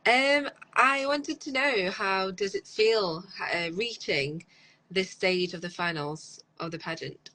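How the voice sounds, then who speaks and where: A woman's voice: medium pitch, slow, pushed and bright, poor audio. A woman hosting a live online interview, upbeat and affirming. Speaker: host.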